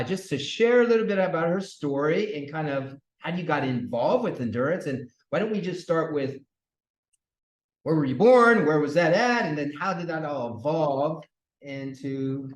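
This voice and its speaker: A man hosting a podcast as a fitness coach, casually interviewing a guest and encouraging her as she talks. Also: on the high side. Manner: easygoing, encouraging, repeats guest's answers. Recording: echoey room.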